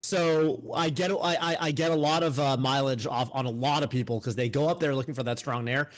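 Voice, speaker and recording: A man in his thirties co-hosting a podcast, chatting casually about a fighting game, animated, with frequent restarts. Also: mid-range voice, fairly quick. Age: 30s. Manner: animated, talks in restarts, mock outrage. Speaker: podcast co-host. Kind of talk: casual gaming chat.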